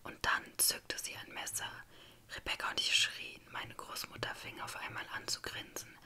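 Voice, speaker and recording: Woman whispering a dramatic story, asmr style, very crisp bright hiss, expressive. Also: moderate pace. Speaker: story narrator.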